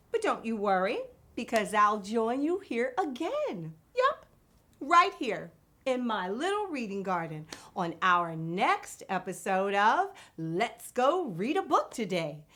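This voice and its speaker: Female children's story host: highish voice, very animated, emphatic, talking straight to little listeners. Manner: expressive, emphatic, deliberate. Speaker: children's read-aloud show host. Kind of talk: addressing young children directly.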